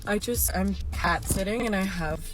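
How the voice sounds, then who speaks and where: A woman speaking quietly and wearily from a parked car, congested from allergies. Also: mid-range voice, moderate pace, very noisy. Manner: quiet, weary.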